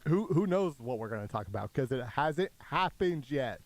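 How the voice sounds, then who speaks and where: A man co-hosting a sports radio show, dropping dry, deadpan quips into casual on-air banter from a radio studio microphone. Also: mid-range voice, normal speed, dry recording. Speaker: radio co-host.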